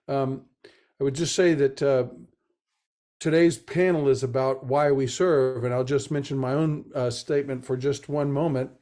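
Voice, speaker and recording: An older American man, sixty or above, giving semi-formal remarks as a panel moderator in a measured, deliberate way. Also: medium pitch, mellow. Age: 60s or older. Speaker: moderator, retired army general. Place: video call webinar.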